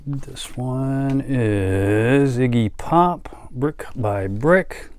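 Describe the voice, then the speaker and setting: A man talks through his collection at a slow, unhurried pace. His delivery is casual and halting, drifting into small asides, with a mid-range pitch. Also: a little mellow. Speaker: music collector and vlogger. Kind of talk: casual show-and-tell chat.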